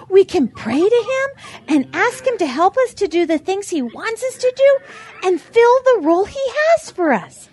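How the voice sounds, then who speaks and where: A woman voicing a gentle, pious chicken in an audio drama, speaking in an earnest, patient, preachy way. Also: extremely high pitch, heavily acted.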